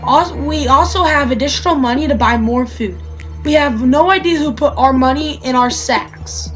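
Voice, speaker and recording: A woman's voice: high voice, moderate pace, dull audio, pitched above the performer's own voice, lightly acted. A woman voicing earnest, pleading grown brothers in a Bible story, with insistent, emphatic delivery. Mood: anxious explaining. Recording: music behind the voice.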